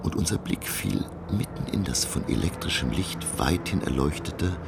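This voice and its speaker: An actor playing a scholarly professor who narrates his undersea voyage in a gravelly voice, musing in literary language. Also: low voice, animated, moderate pace, heavy background noise. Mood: hushed suspense. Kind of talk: German radio play narration. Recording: music under the voice. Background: music.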